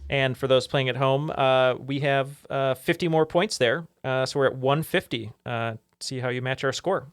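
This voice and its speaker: A man's voice: mid-range voice, normal speed, no room sound. Chatty man with a dry close sound. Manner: chatty, laughing, thinking aloud. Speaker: trivia contestant. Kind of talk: casual chat.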